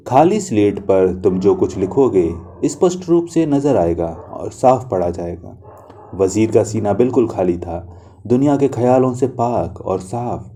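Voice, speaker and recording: A man narrating a literary short story in the first person, reading in a measured, reflective manner. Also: fairly low pitch, mellow, slight background noise.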